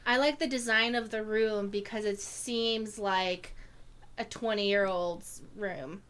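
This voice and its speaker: A woman's voice: mid-range voice, fairly even intonation, takes it slowly, a crowd in the background. A woman with a small, babyish voice chatting casually as a podcast co-host.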